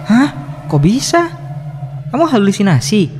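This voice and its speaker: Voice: mid-range voice, sing-song, measured pace, over music. Seorang pengisi suara memerankan pemimpin rombongan pendaki yang memberi arahan dan menenangkan teman-temannya. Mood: alarmed. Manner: reassuring, instructive. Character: calm hiking group leader. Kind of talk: animated horror story voice acting.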